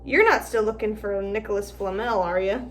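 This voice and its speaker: A woman reading aloud and voicing a big, secretive gamekeeper man who talks roughly and drops word endings. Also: medium pitch, normal speed, slight background noise, natural voice. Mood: shifty.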